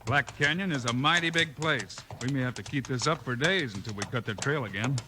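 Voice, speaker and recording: A male radio actor playing a calm, level-headed western lawman, composed and decisive. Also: mid-range voice, fairly quick, close to an everyday voice. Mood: steady, resolute. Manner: composed, decisive, plain-spoken. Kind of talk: 1950s western radio acting. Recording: noisy vintage 1950s radio recording.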